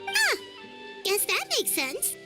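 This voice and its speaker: A woman voicing a tiny, cheerful childlike fairy companion in an anime game, squeaky and eager. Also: wildly swooping pitch, slow, loud music behind the voice, full character voice. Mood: impressed. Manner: speaks of self in third person, eager.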